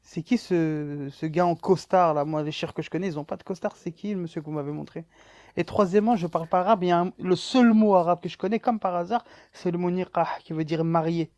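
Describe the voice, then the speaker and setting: A man's voice: high-pitched, fast, a little mellow, no echo. A man in a casual online debate, answering with mocking, teasing retorts.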